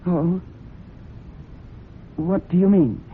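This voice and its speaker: An actress playing a desperate, guilt-ridden woman in a radio mystery, with a halting, intense and deliberate delivery. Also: fairly low pitch. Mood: guarded, tense. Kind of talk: dramatic radio mystery acting. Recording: noisy, narrow-band old radio recording.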